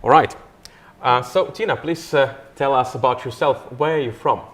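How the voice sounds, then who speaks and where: A man's voice: mid-range voice, normal speed. A man hosting a live podcast interview outdoors by a river, talking in a friendly, enthusiastic way with frequent fillers. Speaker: podcast host.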